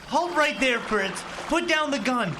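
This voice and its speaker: A man's voice: very high voice, expressive, a little slow, crowd noise, full character voice. A man playing a determined, level-headed young husband in a radio play. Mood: tense, commanding. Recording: clear water noise behind the voice.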